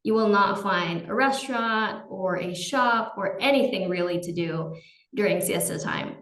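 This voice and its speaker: A woman's voice: mid-range voice, unhurried, a bit bright, echoey room. A young adult woman from Argentina, a podcast guest chatting casually and laughing easily. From Salta, Argentina. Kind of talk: casual interview chat. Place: at home in Salta.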